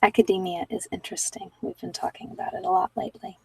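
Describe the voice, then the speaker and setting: A woman speaks softly in a casual livestream chat, often dropping toward a whisper, rambling reflectively and trailing off mid-thought. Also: mid-range voice, steady tone, fast talker, vocal fry, husky, no room sound, distant mic, low-quality recording. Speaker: ASMR meditation host.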